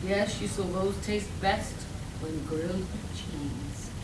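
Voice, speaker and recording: A woman playing an earnest, excitable villager in a live radio play, melodramatic and emphatic. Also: medium pitch, slow, natural voice. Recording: echoey, noisy hall recorded from far off.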